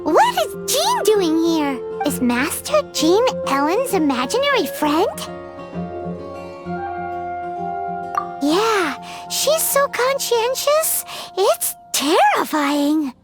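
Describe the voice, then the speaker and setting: Squeaky little fairy companion: tiny, naive, excitable, very high and slow-paced, cartoonish game delivery over music. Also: female voice, heavily acted. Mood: spooked. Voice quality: squeaky, childlike. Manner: speaks of self in third person. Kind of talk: cartoonish video game voice acting. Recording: music behind the voice.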